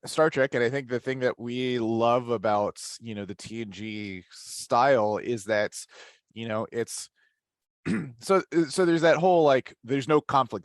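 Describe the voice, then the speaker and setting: A man talking casually as a podcast panelist, working through his thoughts aloud in a halting way. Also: mid-range voice, no room sound, studio quality.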